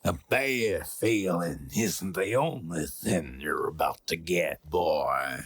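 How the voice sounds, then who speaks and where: Gravelly, slow-drawling hostile gunslinger. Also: male voice, sits low. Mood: menacing. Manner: slow, menacing taunting. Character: hostile Old West gunslinger, quick to threaten. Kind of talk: audio drama western acting. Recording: music behind the voice.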